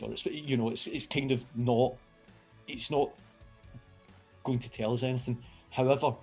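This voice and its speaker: A middle-aged Glaswegian man explains his engineering work in a chatty, enthusiastic way, with fillers and restarts. His pitch sits in the middle for a man. Faint music plays softly behind him. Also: slightly rough. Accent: Glaswegian Scottish. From Scotland. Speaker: university engineering lecturer. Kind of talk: informal science outreach talk.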